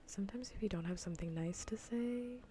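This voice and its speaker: A woman streamer chatting playfully and casually in a soft, breathy, often near-whispered ASMR style, close to the microphone. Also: medium pitch, quick pace, a little hiss or hum. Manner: playful, rambling, half-whispered casual talk. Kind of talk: soft-spoken ASMR gaming livestream chat.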